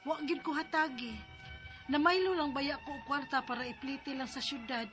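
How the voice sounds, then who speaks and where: A woman with a high voice narrates a dramatized life story in the first person. She speaks plaintively and confidingly, as if sharing her troubles. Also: steady tone, normal speed, music in the background. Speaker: storyteller narrating as the letter-sender.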